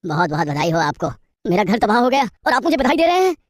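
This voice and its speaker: A woman voicing a poor young husband in a story cartoon, earnest, hurried and anxious. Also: medium pitch, very animated, no room sound, clean studio sound. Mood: dismayed.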